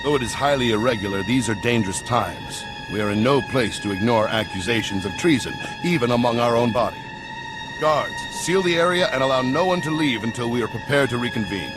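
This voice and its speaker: An actor playing an even-handed senate chairman, courteous and official, in a video game. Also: medium pitch, brisk, close to an everyday voice. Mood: grave. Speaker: chair of a hearing. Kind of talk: video game voice acting, formal proceedings. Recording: music behind the voice.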